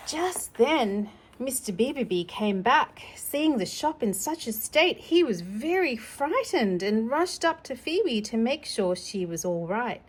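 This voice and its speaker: Slow-paced woman reading a children's storybook. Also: a little high, very animated, husky. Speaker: storytime reader. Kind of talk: reading a children's picture book aloud with chatty asides to a young child.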